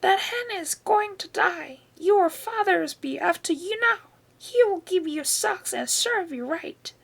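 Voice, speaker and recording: A woman voicing a bossy old grandmother who shouts and scolds in a high, shrill voice. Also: lively intonation, slow pace, vocal fry, exaggerated voice. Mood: shouting shrilly. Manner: loud, scolding, screeching.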